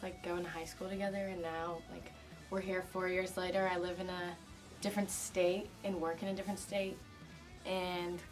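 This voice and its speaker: A woman's voice: on the low side, recorded from a distance, rough recording. A young woman in her twenties from Connecticut, a band singer chatting casually in an interview, with lots of "like". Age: 20s. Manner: chatty, filler-heavy with frequent "like".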